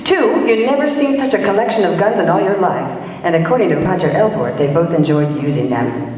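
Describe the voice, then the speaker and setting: A woman's voice: on the low side, expressive, brisk, darkish tone, close to an everyday voice. A woman playing a savvy, wisecracking private detective in 1940s radio style, slangy, breezy and knowing. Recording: echoey hall, far from mic.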